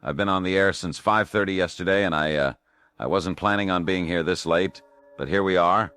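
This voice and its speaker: Man acting tired call-in radio host, lowish voice, steady, plain-spoken, soft music underneath. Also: fairly quick, smooth, no echo. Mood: weary, uneasy. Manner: plain, low-key. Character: weary, steady male late-night radio host. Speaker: late-night radio host. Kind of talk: call-in radio show in audio drama.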